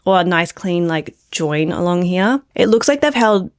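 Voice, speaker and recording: A woman's voice: lowish voice, lively intonation, normal speed, no room sound, studio quality. A woman and knitwear designer chatting casually about knitting patterns, her throat a little phlegmy from a cold, rambling with many filler words. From Australia. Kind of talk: casual pattern review chat.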